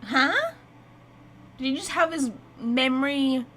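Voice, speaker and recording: A woman streaming a video game with casual live reactions, talking in a rambling, excitable, blunt way. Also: high-pitched, takes it slowly, rough recording.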